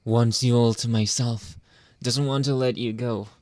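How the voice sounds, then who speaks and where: A man playing a jealous, controlling young boyfriend in an intimate ASMR roleplay, halting and pleading, often dropping toward a whisper. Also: mid-range voice, fairly even intonation, darkish tone, no echo, lightly acted. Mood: clingy, yearning. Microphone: close, dry microphone.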